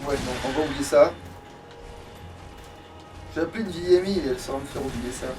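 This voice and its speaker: A man's voice: a little high, a little fast, far from the mic, background noise. A man hosting a let's play, chatting casually, swearing and grumbling as he plays. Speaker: let's play host, playing the game. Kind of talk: casual gaming chat.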